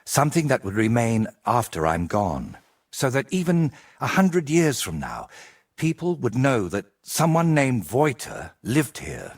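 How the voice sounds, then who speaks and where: A vain dreamer painter from a medieval game, lively pitch, slightly husky, theatrical. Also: male voice, unhurried, full character voice. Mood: earnest, yearning. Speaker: painter character. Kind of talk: video game cutscene dialogue.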